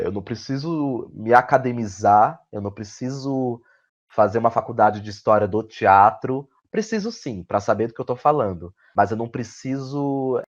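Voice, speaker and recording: A man with a Brazilian accent gives reflective interview answers, searching for words, repeating phrases and often ending on tag questions. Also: mid-range voice. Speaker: guest playwright and actor. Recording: dull, lo-fi audio.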